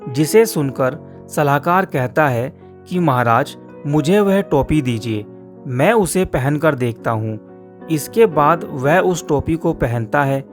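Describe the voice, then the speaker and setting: A man narrating a children's cartoon story in a scripted storybook style. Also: on the high side. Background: soft guitar music.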